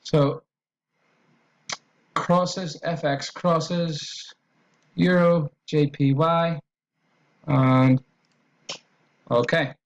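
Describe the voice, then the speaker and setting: A man's voice: a little high, fairly even intonation, drawn-out words, pauses often, muffled recording. A man with an Irish accent talking through a trading tutorial on screen, in a loose, repetitive way with fillers and restarts. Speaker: trading educator.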